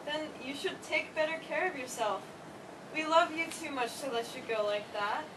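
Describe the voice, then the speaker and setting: A woman's voice: sits high, normal speed, close to an everyday voice. A woman in a staged play reading, playing an emotional college-age daughter who pleads with her mother. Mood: pleading. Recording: distant, noisy live stage recording.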